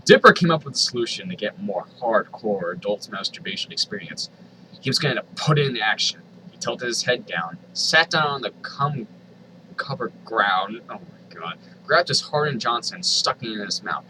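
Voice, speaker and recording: A man's voice: lowish voice, lively intonation, normal speed, noisy background. A man reading a story aloud dramatically, with exasperated, sardonic asides. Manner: exasperated, sardonic, swears freely. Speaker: reader of online fan fiction.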